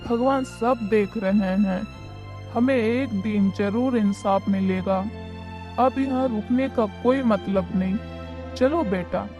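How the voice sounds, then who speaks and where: Casting: wronged, devout village mother woman acting, medium pitch, indignant, cartoon moral tale over light music. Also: slow pace, a little mellow, muffled recording, lightly acted. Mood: wounded, resigned. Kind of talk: cartoon moral story dialogue.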